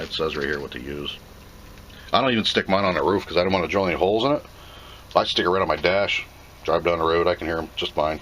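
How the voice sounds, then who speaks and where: Low-pitched male, plainspoken, casual product review, off-the-cuff, noticeable background noise. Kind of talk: casual unboxing commentary.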